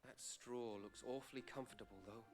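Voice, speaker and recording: A man's voice: mid-range voice, fairly even intonation, brisk, a little hiss or hum, close to an everyday voice. An actor playing an earnest young male weaver, a polite adventurer in a fantasy game. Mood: apologetic, musing. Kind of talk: audio drama and game voice acting.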